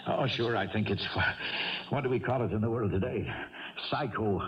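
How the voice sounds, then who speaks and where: An actor playing a proud, broke Irish racehorse trainer, kindly and stubborn, with a folksy lilt, in a radio drama. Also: male voice, on the low side, moderate pace, close to an everyday voice. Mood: wry, resigned. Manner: folksy lilting turns of phrase. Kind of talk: 1970s radio drama acting. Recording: dull, distant 1970s radio recording.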